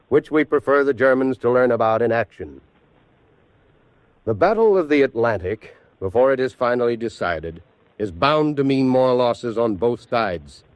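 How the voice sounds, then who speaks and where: An actor playing a firm, confident Navy Secretary delivering a formal wartime address. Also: male voice, mid-range voice, a little mellow, no echo, natural voice. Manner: firm, declarative.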